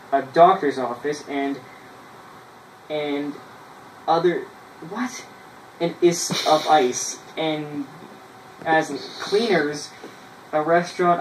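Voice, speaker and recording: A man, casually reading his old writing aloud in a halting way, with self-mocking asides, in a small bedroom. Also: on the high side. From Canada. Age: 20s. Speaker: vlogger. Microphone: camera a few feet away. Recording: roomy, distant mic with noise.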